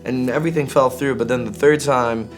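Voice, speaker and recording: A man from Greece, an indie singer-songwriter, talking casually and candidly in an interview in an informal, halting, slangy way. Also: medium pitch, fairly even intonation, soft background music.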